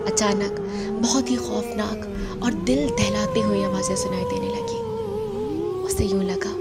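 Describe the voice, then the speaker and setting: Woman narrating suspensefully over loud music. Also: extremely high pitch, expressive, measured pace. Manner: theatrical, suspenseful. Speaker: narrator of an audio drama. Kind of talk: dramatic scripted horror story narration. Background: loud background music.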